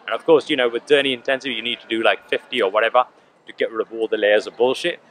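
A man's voice: medium pitch, steady tone, fast, low-quality recording. A man in his forties speaking earnestly to the camera, gesturing as he talks, outdoors in a park. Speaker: vlogger. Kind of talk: casual reflective monologue. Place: park lawn outdoors by a statue. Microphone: camera at close range.

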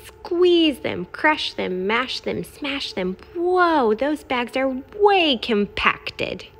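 A woman's voice: high voice, very animated, extremely slow, pitched above the performer's own voice, heavily acted. A woman voicing a brash, boastful, gleefully smelly garbage truck in a children's picture book, in punchy, emphatic phrases. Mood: bossy, excited. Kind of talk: expressive children's picture-book read-aloud.

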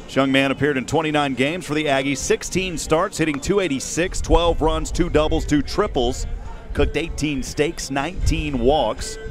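A man in his thirties calling a baseball game live in short, measured phrases, speaking into a headset mic outdoors. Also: a little high, soft background music. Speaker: radio play-by-play baseball announcer. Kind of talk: live sports commentary. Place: outdoors at a windy ballpark. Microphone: headset boom mic.

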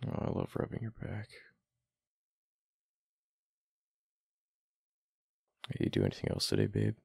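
A man voicing a flustered, affectionate young gamer boyfriend in a boyfriend ASMR roleplay, with a deep, gravelly, halting murmur. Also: huge pitch swings, mellow, no room sound, exaggerated voice. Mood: tender, affectionate.

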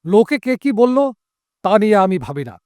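A man's voice: high-pitched, sing-song, normal speed, darkish tone, no room sound, studio quality. A man playing a tender, protective father with an earnest, emphatic delivery in an audio drama. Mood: protective indignation. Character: tender, protective father defending his mute daughter. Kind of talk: Bengali audio drama acting. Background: background music.

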